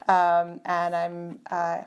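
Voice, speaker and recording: A woman's voice: fairly low pitch, completely flat delivery, extremely slow, clean tone, dull audio. Thoughtful woman guest on a video call. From Turkey. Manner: thoughtful, many fillers and restarts. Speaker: guest, computer scientist. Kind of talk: conversational interview.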